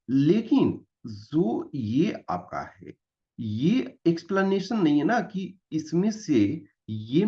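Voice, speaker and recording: A man's voice: mid-range voice, a little mellow, muffled recording. A man with an Indian accent giving an explanatory exam-prep lecture, mixing Hindi and English and repeating key points didactically. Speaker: exam-prep history teacher.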